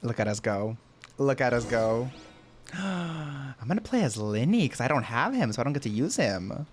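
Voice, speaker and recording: A woman streaming a video game, reacting casually with slangy, excitable exclamations. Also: a bass voice, moderate pace. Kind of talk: casual reactions while playing. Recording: game music behind the voice.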